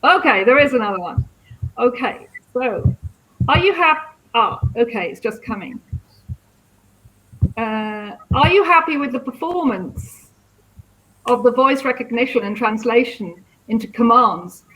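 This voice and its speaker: A woman's voice: on the high side, lively intonation, a little slow, pauses often, fairly bright tone, rough recording. A woman moderating a live question-and-answer session, improvising and checking as she goes.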